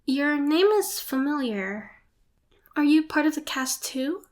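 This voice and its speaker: A young woman playing a shy, nervous, excitable theatre student in an ASMR roleplay, hesitant, flustered and apologetic. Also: high voice, takes it slowly. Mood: shy, polite. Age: young adult. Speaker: amateur voice actor.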